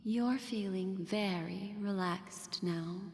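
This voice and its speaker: Slow, hushed mystical storyteller. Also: female voice, mid-range voice, slight background noise. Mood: soothing, mysterious. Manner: slow, deliberate, hushed bedtime-story delivery. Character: mysterious, teasing, soothing female storyteller in fantasy game. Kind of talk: scripted game narration. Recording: long reverb, music behind. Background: game music.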